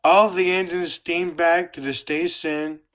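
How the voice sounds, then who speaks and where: A storybook narrator reads aloud for children. The delivery is scripted and slow, with a medium-pitched voice that gives each phrase room. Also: steady tone, a bit bright.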